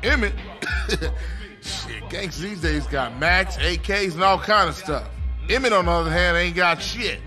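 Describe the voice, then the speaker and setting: An actor playing a stern, protective gang leader in a video game, blunt and slangy. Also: male voice, high voice, lively intonation, a little slow, pushed and bright, soft background music, exaggerated voice. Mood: dismissive. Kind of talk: video game cutscene acting.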